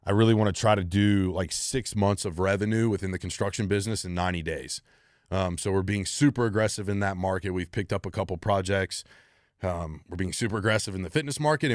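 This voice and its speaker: A very low-voiced man hosts a solo Q&A podcast. He talks in a blunt, driven way and swears freely. The sound is close and dry, with no room echo. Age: 30s. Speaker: podcast host.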